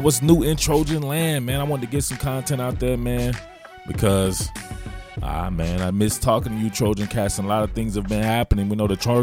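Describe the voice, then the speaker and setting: Low-voiced Southern man talking football. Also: a little mellow. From southern United States. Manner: slangy, informal, familiar address to listeners. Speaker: host, football analyst. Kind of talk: casual solo sports commentary.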